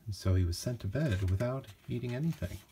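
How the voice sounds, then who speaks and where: Husky-voiced man reading a children's storybook. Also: mid-range voice, lively intonation, a little fast, mellow. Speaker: storybook reader. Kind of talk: scripted storybook narration for children.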